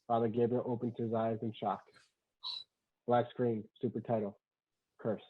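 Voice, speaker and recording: A man's voice: mid-range voice, little pitch movement, moderate pace. Casting: male script narrator, mid-range voice, matter-of-fact, thin band-limited recording. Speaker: stage-directions narrator. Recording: muffled, dull lo-fi sound.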